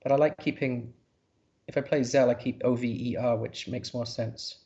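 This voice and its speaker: A man's voice: medium pitch, steady tone, normal speed, dark tone, highs cut off. A man commentating a live board game, thinking aloud in a hesitant, musing way.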